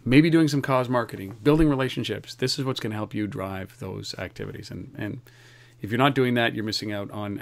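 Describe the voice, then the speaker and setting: Energetic man hosting a podcast. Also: lowish voice, creaky. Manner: energetic, repeats words when starting sentences. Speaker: podcast host. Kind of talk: casual podcast chat.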